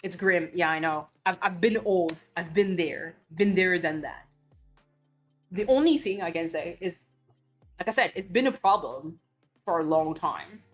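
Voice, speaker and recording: A woman's voice: on the low side, a little slow, faint music behind. A woman from Belgium, a streamer chatting casually and ranting bluntly with cursing and many restarts. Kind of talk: casual chat.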